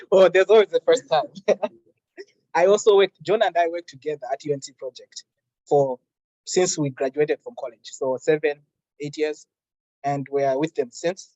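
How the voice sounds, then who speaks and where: A young man from Malawi leading a workshop, introducing himself in a relaxed, chatty way. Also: a little high, normal speed, pauses often, dry recording, lo-fi sound. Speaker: workshop facilitator. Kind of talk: friendly classroom introduction.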